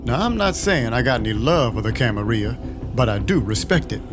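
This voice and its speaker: Male actor as vampire private eye, noir narration, deadpan, sardonic, world-weary, medium pitch, music underneath. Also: brisk, lightly acted. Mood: proud, gritty. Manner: wry, hard-boiled, deadpan. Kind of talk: noir first-person narration in an audio drama. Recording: music behind the voice.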